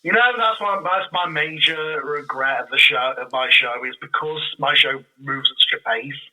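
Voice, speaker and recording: A man chatting casually as an interview guest, often halting and correcting himself. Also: fairly high pitch, sharp tone, poor audio. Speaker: podcast guest, audio drama creator.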